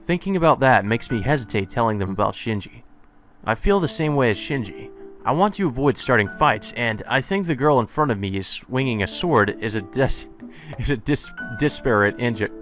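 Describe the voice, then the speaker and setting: Male let's player, mid-pitched, reads visual novel narration casually with sweary asides, game music behind. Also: animated, normal speed, a bit bright. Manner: casual, sweary, stumbles over words.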